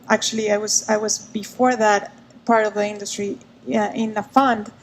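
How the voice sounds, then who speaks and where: Even-toned woman on a panel. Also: medium pitch, creaky, rough recording. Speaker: finance executive, panelist. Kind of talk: spoken self-introduction on a panel. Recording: faint background noise, live panel.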